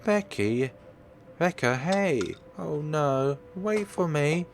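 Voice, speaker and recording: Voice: medium pitch, lively intonation, slow, slight background noise, voice pushed up. A man voicing a chatty, earnest young woman in a visual novel, babbling eagerly and hesitantly. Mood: calling out.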